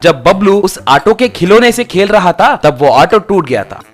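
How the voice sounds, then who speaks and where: A man's voice: sits high, big pitch swings, normal speed, faint music behind. A man narrating a scripted, children's-style story.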